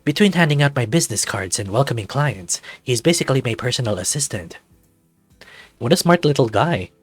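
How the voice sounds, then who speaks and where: A man's voice: medium pitch, lively intonation, a little fast, slightly rough, no room sound, soft background music. Man voicing a composed, self-assured dragon lawyer. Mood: fond, chatty. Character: suave, proud male dragon lawyer in a suit.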